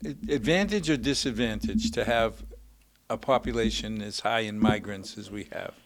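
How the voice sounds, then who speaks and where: A man's voice: on the low side, normal speed. A man whose first language is Portuguese, hosting a casual interview in an easygoing, conversational way. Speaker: show host.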